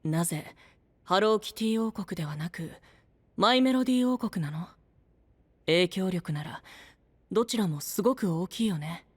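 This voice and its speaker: An actor playing a soft, curious, boyish young knight with a breathy voice and a gentle, polite manner in an anime-style voice drama. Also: male voice, high-pitched, lively intonation, moderate pace, no echo.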